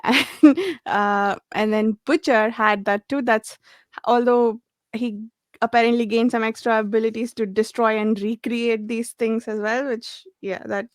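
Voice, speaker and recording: Female panelist, slightly high register, casual and enthusiastic, loose rambling delivery, close dry recording. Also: fairly even intonation, unhurried, no echo. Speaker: book discussion panelist. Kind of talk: casual book discussion.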